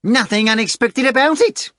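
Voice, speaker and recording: A man's voice: very high-pitched, moderate pace, fairly bright tone, no room sound, studio quality, pitched above the performer's own voice. A man voicing a blunt, cold henchman who answers in a curt, matter-of-fact way.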